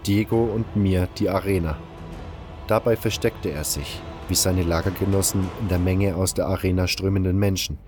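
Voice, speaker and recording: Low-voiced male story narrator over music. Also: darkish tone. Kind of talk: scripted first-person fantasy story narration. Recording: music behind the voice.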